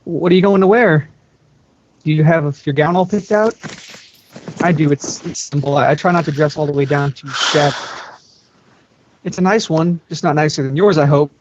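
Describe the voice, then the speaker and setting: A man voicing a lonely eighteen-year-old princess in a story reading, rambling nervously and haltingly. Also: fairly high pitch, noisy background, lightly acted. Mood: awkward, eager. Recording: dull lo-fi microphone, dry.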